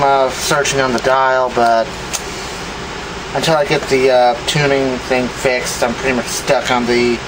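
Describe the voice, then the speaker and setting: Male hobbyist video host, mid-pitched, casual and rambling, over a clearly audible background hum. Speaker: video host showing vintage electronics. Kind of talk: casual unscripted demonstration.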